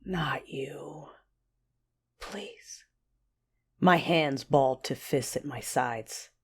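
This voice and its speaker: Husky, intense female Sith warrior. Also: rumbling low, drawn-out words, exaggerated voice. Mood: pained. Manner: intimate, breathy, intense delivery. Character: passionate young female Sith warrior, wounded and fierce. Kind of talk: first-person dramatic narration in an audio drama.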